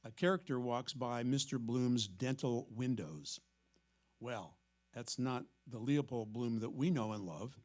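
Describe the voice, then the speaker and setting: A man with a middle-pitched voice presents a literary evening. He explains in a measured way, as if from prepared notes. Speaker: host introducing a staged reading. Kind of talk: prepared introductory talk to an audience.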